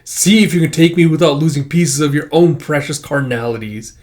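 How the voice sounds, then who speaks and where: A man's voice: fairly high pitch, a little fast, darkish tone, close to an everyday voice. A man puts on a masked huntress from a fantasy comic. She is boastful and deadly. Her speech is haughty and theatrical, made for taunting prey. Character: boastful, deadly masked female hunter from another world.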